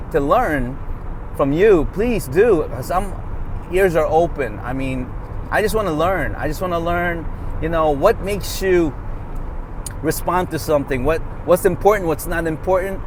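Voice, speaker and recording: A man's voice: fairly high pitch, noisy background. A middle-aged man whose first language is Tagalog, talking off the cuff in a rambling vlog with many fillers, recorded while driving. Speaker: vlogger. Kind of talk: casual off-the-cuff vlog talk.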